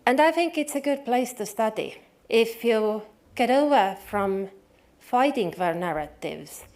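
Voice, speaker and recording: A woman's voice: a little high, measured pace. Chatty woman introducing a speaker. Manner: conversational, lively, with small slips. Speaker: conference moderator introducing the speaker. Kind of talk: informal introduction speech.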